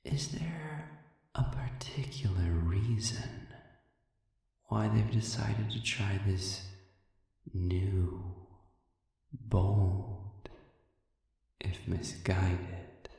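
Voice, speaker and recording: A man playing a suave, sadistic demon in an audio roleplay, speaking in a measured, condescending, formal way with lingering pauses and a breathy voice that often sinks toward a whisper. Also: on the low side, warm tone, exaggerated voice. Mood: probing, smug. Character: suave, sadistic, manipulative male demon prisoner. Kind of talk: audio roleplay, slow intimate monologue addressed to listener. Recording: echoey hall-like reverb, distant.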